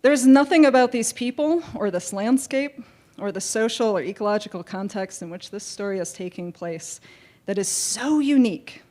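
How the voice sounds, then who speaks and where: A woman panelist speaking with a slightly hoarse voice into a handheld mic, telling a story in an earnest, deliberate way on a conference stage. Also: mid-range voice, a little mellow.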